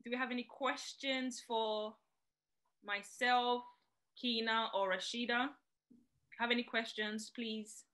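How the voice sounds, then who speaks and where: A woman hosting a webinar over a video call, moderating questions in a friendly, brisk way. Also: fairly high pitch, steady tone, pushed and bright. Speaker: webinar host.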